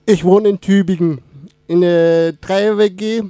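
A young man with Turkish as his first language talks at an unhurried pace in a high, soft-edged voice. He stops, restarts and fills gaps often, like a casual guest feeling his way through questions. The sound is dry and close. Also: steady tone, clean tone, dry recording. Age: 20s. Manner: halting, many fillers and restarts. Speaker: radio guest. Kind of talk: casual interview.

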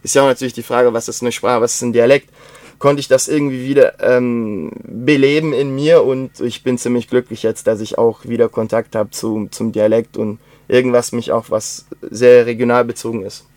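A man's voice: mid-range voice, fairly even intonation, moderate pace, darkish tone, no room sound. Rambling young man, Swabian-tinged German. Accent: German with Swabian traces. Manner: rambling with many fillers and restarts. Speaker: interview guest. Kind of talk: casual conversation.

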